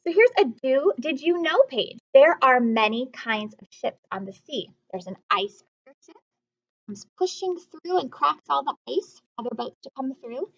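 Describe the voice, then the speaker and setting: A woman's voice: high voice, sing-song, moderate pace, a bit bright, highs cut off. A woman teacher reading aloud to young children, explaining as she goes, asking questions and repeating key words in an instructive way.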